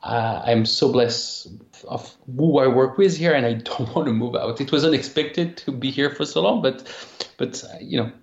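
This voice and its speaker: A man with a French accent, a game company founder talking in a casual interview, halting with many restarts. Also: mid-range voice, roomy sound, muffled recording. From France. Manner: halting, many restarts and fillers, laughs easily. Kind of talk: casual interview over a call.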